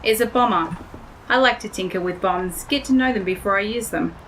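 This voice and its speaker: A woman's voice: medium pitch, brisk, a bit bright, slight background noise, close to an everyday voice, low-quality recording. A woman playing a self-assured, blunt pilot and bomb tinkerer with a wry manner in an audio drama. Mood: cocky, wry. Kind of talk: scripted audio drama acting.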